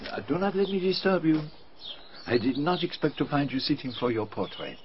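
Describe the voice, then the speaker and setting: Elderly male detective, played by an actor, lively intonation, formal exact diction, inquisitive, radio drama, muffled hissy recording. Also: fairly high pitch, a little fast, lightly acted. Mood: courteous. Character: elderly courteous foreign detective, precise and inquisitive. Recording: dull lo-fi radio recording with background hiss.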